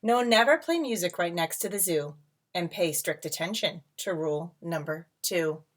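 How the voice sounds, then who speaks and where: A woman reading a picture book aloud to children as a teacher, with dramatic emphasis, drawn-out words and casual asides. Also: lowish voice, expressive. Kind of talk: expressive storybook read-aloud with casual asides. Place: at home.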